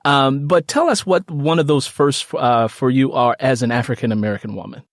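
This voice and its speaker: A man's voice: medium pitch, moderate pace, dry recording, studio quality. A man hosting a podcast interview in an effusive way, often repeating his phrases. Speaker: podcast host.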